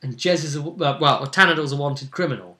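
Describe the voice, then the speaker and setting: A man's voice: fairly high pitch, fast, bright, forceful tone. A man chatting casually as a game master, recapping a tabletop role-playing session and acting out bits of dialogue as he goes. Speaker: game master and video host.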